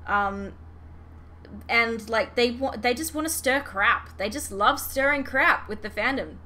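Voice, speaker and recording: A woman's voice: a little high, measured pace. A woman podcast host chatting casually and animatedly about a fandom, swearing freely. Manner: animated, sweary, giggly retelling. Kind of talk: casual fan podcast chat. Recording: clear background noise.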